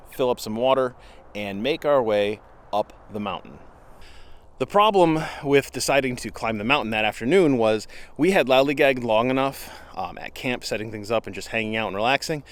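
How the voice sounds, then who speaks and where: A man telling an unscripted story in a casual, conversational way, with frequent pauses and slangy asides. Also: mid-range voice. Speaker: outdoor vlogger telling a story. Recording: clear ambient background noise.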